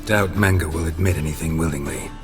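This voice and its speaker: Very deep, gruff monster hunter. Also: male voice, a little fast, big-room sound, music in the background. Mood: dry. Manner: terse, clipped, deadpan. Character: gruff, stoic, blunt male monster hunter. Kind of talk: video game voice acting.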